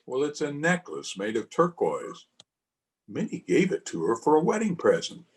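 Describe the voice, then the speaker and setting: A man's voice: fairly high pitch, huge pitch swings, normal speed. A man playing a doting, reassuring husband in an old-time radio play. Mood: coaxing. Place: home, over a video call.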